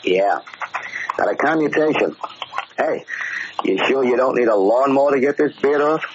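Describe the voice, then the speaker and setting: An actor playing a cocky, tough-talking gangster in a slangy 1940s radio drama style. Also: male voice, medium pitch, animated, normal speed, close to an everyday voice. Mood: brash, impatient. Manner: slangy, brash. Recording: muffled, hissy 1940s radio recording.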